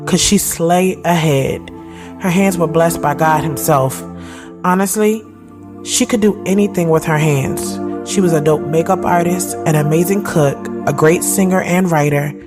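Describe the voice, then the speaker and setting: A woman's voice: fairly low pitch, close to an everyday voice. A woman acting as a reflective thirty-year-old entrepreneur who tells her own story in a confiding, conversational, slangy way. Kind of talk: first-person scripted audio drama narration. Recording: loud music bed behind the voice.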